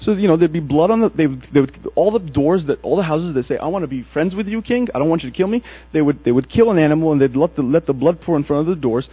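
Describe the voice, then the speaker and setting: A man from Egypt preaches and tells stories, acting out the people in them. His voice is a little husky at a middling pitch. It comes through a tinny, dry, phone-like recording with a mains hum. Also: fast talker, few pauses, dry recording. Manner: storytelling, animated, acts out quoted characters. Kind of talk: sermon.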